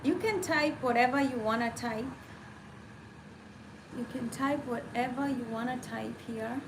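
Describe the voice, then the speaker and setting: Woman, livestream host, slow relaxed pace, gravelly fry, faint hum behind. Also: fairly high pitch. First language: Kamba. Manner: chatty, reads viewer comments aloud. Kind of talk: casual livestream chat.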